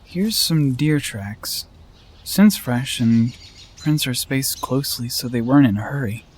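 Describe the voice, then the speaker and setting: A man's voice: medium pitch, animated, a little slow, husky. A man playing an articulate, composed young male wolf hunter with a dry, formal manner in a scripted fantasy drama.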